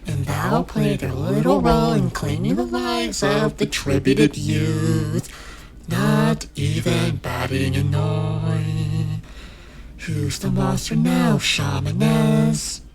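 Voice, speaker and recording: A woman's voice: low-pitched, expressive, takes it slowly, voice pushed down, exaggerated voice. A woman voicing a menacing, ancient demon lord who speaks in archaic, pompous commands in a horror audio roleplay. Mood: cruel, taunting. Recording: music behind the voice.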